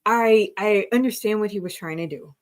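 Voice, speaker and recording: A woman's voice: medium pitch, moderate pace. A woman co-hosting a casual live-stream discussion, explaining earnestly and checking her notes as she goes. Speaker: co-host.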